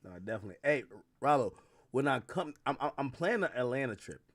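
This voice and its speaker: A man's voice: medium pitch, lively intonation, quick pace, no echo, clean studio sound. A man from Jamaica hosting a livestream, chatting casually in hip-hop slang, rambling and often stammering as he circles back over his words. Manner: rambling, repetitive, frequently stammering mid-thought. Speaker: livestream host interviewing guests by call.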